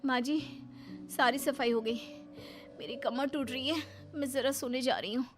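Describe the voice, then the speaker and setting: A woman's voice: sits high, steady tone, normal speed, loud music behind the voice, natural voice. A woman voicing a put-upon young daughter-in-law in a family cartoon, pleading and exasperated. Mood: weary, plaintive. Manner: pleading, plaintive, wryly exasperated. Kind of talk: Hindi family cartoon voice acting.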